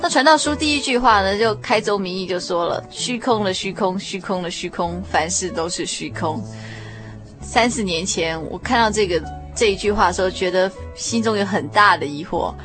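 A woman's voice: mid-range voice, moderate pace. Taiwanese Mandarin woman hosting over music. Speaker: radio host. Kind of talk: radio interview hosting. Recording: music playing behind the voice.

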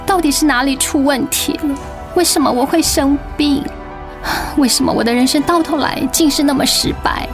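Casting: weary, stubbornly independent young mother, Taiwanese Mandarin, radio play, clearly audible music underneath. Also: high voice, moderate pace. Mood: anguished, self-doubting. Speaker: voice actor in a radio drama. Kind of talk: dramatic radio play acting.

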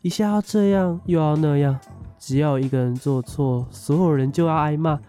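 A man's voice: on the high side, moderate pace, dark tone, soft background music, close to an everyday voice. A Taiwanese man acting a whiny, grumbling young military conscript in a radio drama. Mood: weary.

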